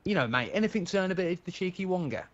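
A man playing a cheeky British gentleman wheeler-dealer in an improvised online game role-play, chatty, slangy and sweary. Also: high voice, sing-song, rapid-fire, highs cut off. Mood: boastful, chatty. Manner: slangy, sweary, rambling and matey.

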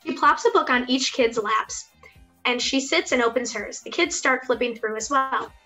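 A woman's voice: on the high side, normal speed, bright, forceful tone, faint music behind, poor audio. A young woman reading stage directions as scripted narration over a video call. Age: young adult.

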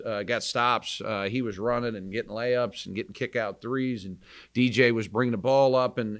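An American man in his forties, a college basketball coach, answering press questions in a measured, candid way with pauses to think. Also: medium pitch, a bit bright. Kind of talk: postgame press conference answers. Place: indoor press conference room, seated at table. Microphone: microphone on table stand.

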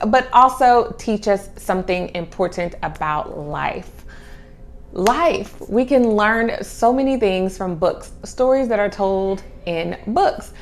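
A middle-aged woman chatting casually to camera as a homeschooling vlog host, stretching and repeating key words for emphasis. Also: a little high. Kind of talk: casual chat to camera, presenting children's books. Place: small home room with sloped ceiling. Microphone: clip-on lapel mic.

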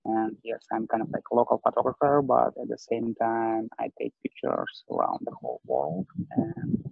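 A man's voice: medium pitch, wildly swooping pitch, moderate pace, vocal fry, slightly rough, no echo, faint music behind. A man from Russia with a Russian accent, chatting loosely on a video call as a panelist photographer and filling his speech with "like". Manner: loose, filler-heavy speech with many "like"s. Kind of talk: casual video call chat. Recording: tinny video call audio.

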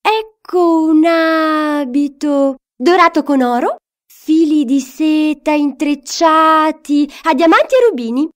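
A woman voicing proud royal tailors in a storybook, with showy, drawn-out delivery. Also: sits high, voice pushed up. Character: proud royal tailors presenting splendid gowns.

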